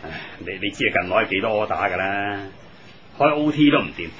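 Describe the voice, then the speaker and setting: A man's voice: lowish voice, brisk, dull audio, slight background noise. An actor playing a weary, grumbling, exasperated working man in a 1980s Hong Kong Cantonese radio drama. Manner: grumbling, sighing, excitable.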